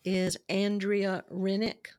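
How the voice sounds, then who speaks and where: A woman's voice: medium pitch, level tone, takes it slowly, a little mellow, clean tone, no echo, clean studio sound. A woman guest on a podcast, chatty and eager to recommend people, who is losing her voice. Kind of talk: casual phone-style interview chat.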